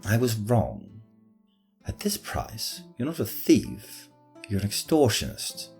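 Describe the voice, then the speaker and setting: A man's voice: lowish voice, huge pitch swings, takes it slowly, creaky, a little mellow, faint music behind, full character voice. A man playing a haughty nobleman who talks in a clipped, sardonic, imperious way in an audio drama. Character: haughty male nobleman hiring a ghost hunter.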